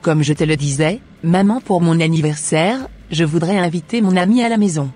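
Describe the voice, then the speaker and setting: Woman voicing nice young daughter in a cartoon, kinda low raspy voice, music behind. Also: moderate pace, dark tone. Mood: pleased, polite. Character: polite, cheerful young woman, obedient daughter. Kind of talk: scripted cartoon dubbing.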